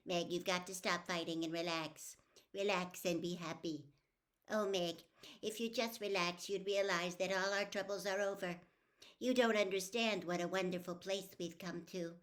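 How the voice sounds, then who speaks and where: A woman voicing a possessed small boy in an audiobook, speaking in a calm, measured, persuasive and sinister way. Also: on the low side, natural voice. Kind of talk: audiobook character voice.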